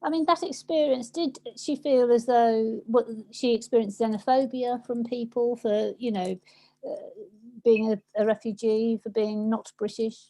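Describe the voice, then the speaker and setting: A woman's voice: a little high, fairly even intonation, moderate pace, dark tone, low-quality recording. A woman interviewing someone in a conversational way, tentatively phrasing and rephrasing what she says.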